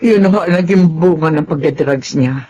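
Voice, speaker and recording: An actress playing a humble, loyal middle-aged former housemaid in a radio drama, polite and deferential. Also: female voice, sits low, normal speed, mellow, lo-fi sound, a little hiss or hum, close to an everyday voice.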